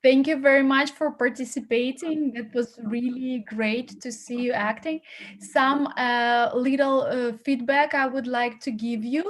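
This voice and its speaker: A woman's voice: high voice, steady tone, a little slow. A woman hosting as an acting coach, giving encouraging feedback with many fillers and repeated words. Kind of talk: casual feedback on a video call.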